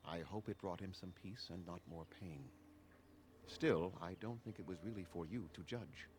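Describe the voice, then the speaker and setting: Man playing deep-voiced, stern elven clan leader. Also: noisy background, lightly acted. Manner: measured, formal, stern. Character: grave, proud, ancient male elven clan leader. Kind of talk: video game voice acting.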